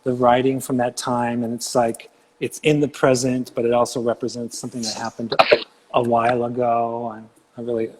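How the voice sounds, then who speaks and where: A man with an average-pitched voice hosts a casual livestream chat. He thinks aloud and rambles, and his lines are full of likes and you knows as he talks over a video call. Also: little pitch movement, moderate pace, noisy background. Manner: musing, rambling, with many fillers. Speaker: host.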